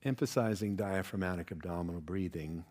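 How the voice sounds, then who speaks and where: Deep voiced guy doing guided meditation, calm and measured, leaves pauses, yoga teacher style.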